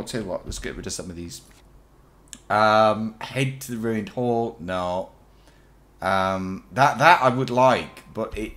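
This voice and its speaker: A man hosting a game playthrough, a bit croaky from illness, rambling and chatty as he thinks aloud. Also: fairly low pitch, a bit bright. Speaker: let's play host. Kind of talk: casual gameplay commentary.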